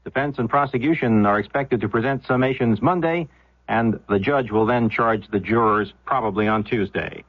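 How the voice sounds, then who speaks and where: A man's voice: medium pitch, normal speed, no echo. A man reading a scripted newscast as a radio news anchor on an old, dull-sounding broadcast.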